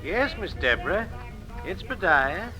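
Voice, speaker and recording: An actor playing a frightened, deferential house servant with folksy dialect in old radio acting. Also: male voice, high voice, very animated, unhurried, sharp tone, soft background music, full character voice, poor audio.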